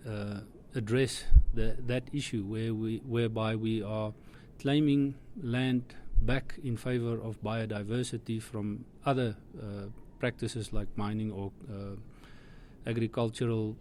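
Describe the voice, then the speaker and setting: A man with a warm, dark voice. He explains his work in a measured, businesslike way, pausing now and then on fillers. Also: mid-range voice, slight background noise. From South Africa. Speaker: hunting outfitter guest. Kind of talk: interview answers.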